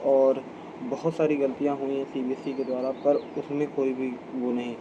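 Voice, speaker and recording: An Indian man giving an informal explainer as a video host, halting and repeating himself as he corrects his phrasing. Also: mid-range voice, highs cut off. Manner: halting, repetitive phrasing with frequent self-corrections. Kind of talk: informal explainer talk to camera. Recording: clear steady background hum and ambient noise.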